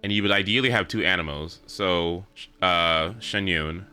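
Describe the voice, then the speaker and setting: Male livestreamer: lowish, bright, lively voice, casual halting chat over gameplay. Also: smooth, faint music behind. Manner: halting, repeats words, thinks aloud. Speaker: game livestreamer.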